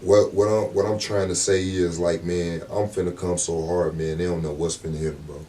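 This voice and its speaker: A man with a deep voice, a rapper being interviewed, talking in a laid-back, slangy way and often asking "you know what I'm saying". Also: flat delivery, brisk, mellow, far from the mic, a little hiss or hum. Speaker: rapper guest. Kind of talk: casual interview talk.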